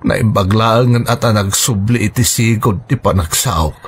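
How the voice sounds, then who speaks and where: A man's voice: fairly low pitch, normal speed, slightly rough. A man playing a weakened, worried husband in a radio drama, speaking with an Ilocano accent. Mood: worried, weary. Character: Roman, weakened husband recovering from stroke. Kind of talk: Filipino radio drama acting. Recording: music bed under dialogue. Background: music.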